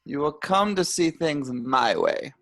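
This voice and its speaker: A man's voice: fairly high pitch, lively intonation, unhurried, fairly bright tone. Guy playing young stoner philosopher, paranoid, ranting on grandly like a movie script. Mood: grandly philosophical. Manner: ranting, grandiose wordy pronouncements. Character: paranoid young male stoner, rambling anti-establishment philosopher. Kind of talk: amateur screenplay table read.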